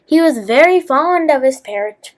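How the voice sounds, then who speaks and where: A woman reading a scripted children's story, with a halting delivery that stumbles over words. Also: sits high, lively intonation.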